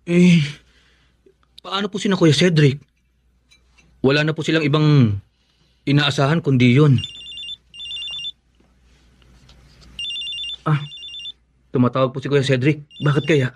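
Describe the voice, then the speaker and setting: Man acting a higher-voiced, earnest young Filipino son. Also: fairly even intonation, moderate pace, long pauses, slight background noise, lightly acted. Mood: concerned. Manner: earnest, polite. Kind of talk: radio drama acting.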